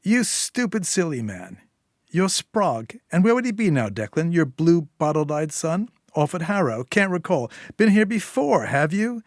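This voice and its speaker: A man's voice: a little high, sing-song, unhurried, no echo, clean studio sound, voice pushed up. A man voicing a volatile, menacing woman gangster in a story reading, taunting and sweary, with heavy emphasis. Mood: taunting, furious.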